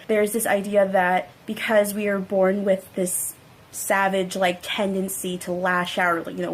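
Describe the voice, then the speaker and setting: A woman's voice: medium pitch, slight background noise, rough recording. A woman giving an explanatory personal statement.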